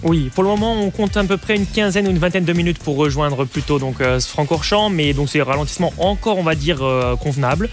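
A quick-talking man reads a live radio traffic report. A light music bed runs underneath. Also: fairly high pitch.

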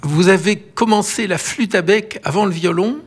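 A man's voice: high-pitched, normal speed, husky. A man interviewing a guest on the radio, asking brief, wry questions.

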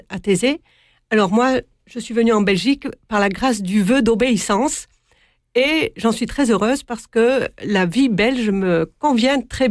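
A woman's voice: medium pitch, dry recording, clean studio sound. A woman, a religious sister from south-east France with a southern French accent, answering interview questions earnestly.

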